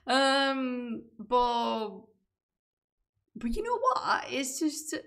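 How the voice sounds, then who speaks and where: A woman's voice: high-pitched, drawn-out words, creaky. A young woman from Spain with a Spanish accent, chatting casually and animatedly with her stream viewers from a room at home. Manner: animated, gestures while talking, chats with viewers. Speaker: streamer, manuscript researcher. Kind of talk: casual livestream chat.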